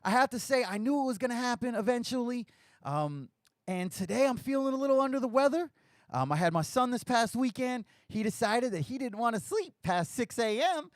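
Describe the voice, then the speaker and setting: A young man hosts his podcast in a loose, rambling way, cracking small self-deprecating jokes. His voice is a bit scratchy from a short night, sitting fairly high and moving around a lot in pitch. The sound is close and dry, with no room around it. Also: animated, brisk, dry recording. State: slightly scratchy voice from little sleep. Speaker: podcast host. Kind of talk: casual podcast talk, live stream.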